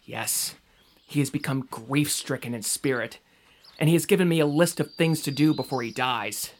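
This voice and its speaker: A man's voice: mid-range voice, moderate pace, lightly acted. An actor playing a doubtful, earnest young man in an audio drama, speaking in a conversational, questioning way. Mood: somber, explaining.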